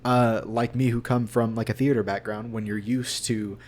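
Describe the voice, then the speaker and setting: A young man chatting casually as an interview guest, rambling and halting in a self-deprecating way. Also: medium pitch, steady tone, a little mellow. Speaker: voice actor guest.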